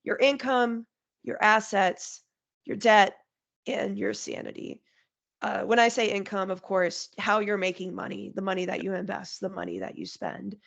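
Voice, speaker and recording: Woman, market strategist guest, nasal from a cold, heavy vocal fry, clear and conversational. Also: medium pitch, fairly even intonation, normal speed, fairly bright tone. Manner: explains in clear plain terms, lists points. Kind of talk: conversational podcast interview.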